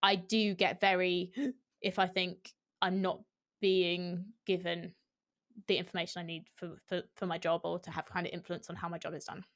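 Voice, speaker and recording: A young woman esports host, a guest on a video call, talking candidly and animatedly through a headset. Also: lowish voice. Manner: candid, animated, quick with restarts and fillers. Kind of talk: casual interview chat. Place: small room at home.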